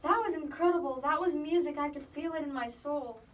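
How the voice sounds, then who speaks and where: A woman's voice: high voice, fairly quick, lightly acted. A woman acting an elderly, passionate piano virtuoso and teacher in readers theatre, with an effusive, theatrical manner. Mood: excited. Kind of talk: amateur readers theatre performance. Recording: echoey room, distant mic, faint noise.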